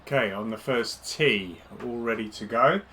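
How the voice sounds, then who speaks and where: A man with a stuffy cold, hosting a casual live stream with chatty, relaxed commentary as he plays. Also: mid-range voice, normal speed, slight background noise. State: congested from a cold. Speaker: gaming streamer.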